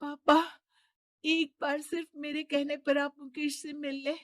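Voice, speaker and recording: A woman's voice: high-pitched, level tone, measured pace, a bit bright, no echo. Woman acting a stubborn, pleading young daughter. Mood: protesting. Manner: pleading, insistent. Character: young rich man's daughter, simple, stubborn in love. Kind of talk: Hindi cartoon moral story dialogue.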